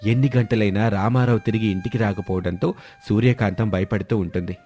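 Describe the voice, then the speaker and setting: A man's voice: on the low side, steady tone, brisk, dark tone. A man reading a scripted moral story as its narrator. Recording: music bed under the voice. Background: background music.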